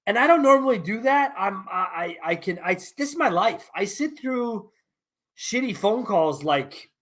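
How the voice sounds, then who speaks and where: A man with a notably high-pitched voice delivers a solo podcast monologue from his office. He talks bluntly and candidly, drops casual swear words, and punctuates his points with emphatic asides. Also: animated, a little slow, a bit bright. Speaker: podcast host.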